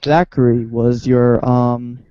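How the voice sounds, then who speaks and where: A man's voice: medium pitch, little pitch movement, slow, smooth, no room sound, dull audio. A man, an amateur cast member, casually introducing his part.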